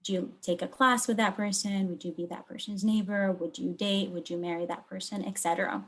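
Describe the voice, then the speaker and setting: Measured woman researcher presenting. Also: mid-range voice, fairly even intonation. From Lebanon. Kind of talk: explanatory research talk with slides.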